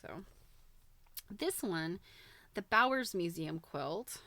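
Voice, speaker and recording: Animated woman chatting casually, thinking aloud. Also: fairly low pitch, no room sound. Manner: informal, halting, thinking aloud. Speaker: host of a live quilting book show. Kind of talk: casual livestream chat, commenting while browsing a book.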